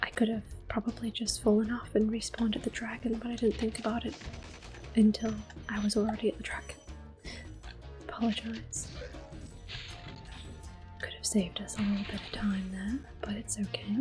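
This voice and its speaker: A woman giving gentle, unhurried ASMR gameplay commentary in a soft, breathy whisper as she plays. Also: on the high side, level tone. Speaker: gameplay streamer. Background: video game music.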